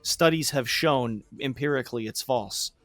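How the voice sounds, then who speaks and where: A man's voice: medium pitch, moderate pace, fairly bright tone, no echo. A man co-hosting a podcast in casual chat, blunt and dismissive. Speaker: podcast co-host.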